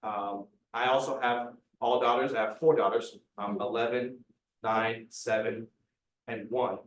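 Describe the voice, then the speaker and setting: A man's voice: mid-range voice, steady tone, a little slow, a little hiss or hum. A man introducing himself on a real estate panel with self-deprecating humor. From Columbus, Ohio area. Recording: echoey room, distant mic.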